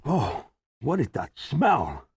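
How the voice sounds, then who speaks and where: Male medical specialist, puzzled, hesitant, husky, hugely varied intonation, comedy table read, male actor. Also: medium pitch, wildly swooping pitch, slow pace, no room sound, exaggerated voice.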